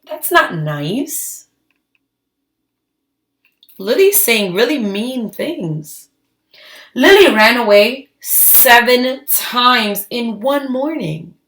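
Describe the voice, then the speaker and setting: Slow-paced woman reading a children's story. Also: fairly high pitch. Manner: expressive, emphatic. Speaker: read-aloud storyteller. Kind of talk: children's storybook read-aloud with asides. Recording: echoey, roomy sound.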